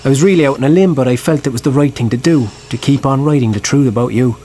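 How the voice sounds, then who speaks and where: A man's voice: medium pitch, fast, mellow, slight background noise, lightly acted. An actor playing a reflective screenwriter who narrates his script in an earnest, confessional first person for an audio drama. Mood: confiding.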